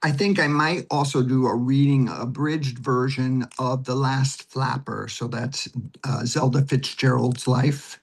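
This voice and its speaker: A man with a husky voice giving conversational interview answers, explaining things step by step. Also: mid-range voice, steady tone, unhurried. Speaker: guest, theater director and producer.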